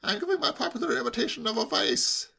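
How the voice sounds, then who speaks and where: A man voicing a breezy, inquisitive young English gentleman in a comic audiobook, facetious and persistent in his questioning. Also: extremely high pitch, expressive, fast, vocal fry, pitched above the performer's own voice, full character voice.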